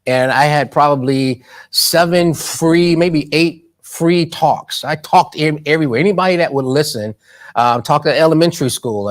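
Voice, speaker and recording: Emphatic man with a preacher-like cadence. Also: on the high side. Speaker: guest, money coach. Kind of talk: interview conversation, motivational.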